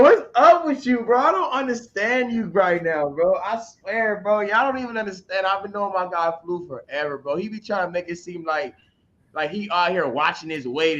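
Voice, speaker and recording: A man in his twenties in casual chat, animated and teasing, on slightly muffled audio. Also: high-pitched. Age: 20s.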